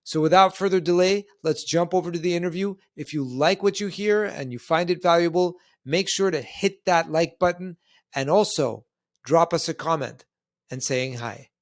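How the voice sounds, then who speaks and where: A man's voice: fairly high pitch, moderate pace, no echo, clean studio sound. A man hosting a podcast and interviewing in a conversational style. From Canada.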